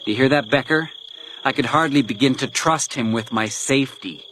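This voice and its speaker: A man's voice: medium pitch, a bit bright, natural voice. An actor playing a menacing, vengeful man with a taunting, theatrical delivery in a detective radio drama. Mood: bitter, mocking. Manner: taunting, theatrical, deliberate when reading letters aloud. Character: menacing vengeful man, grieving brother, cruelly mocking and taunting. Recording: noisy night outdoor ambience with insects.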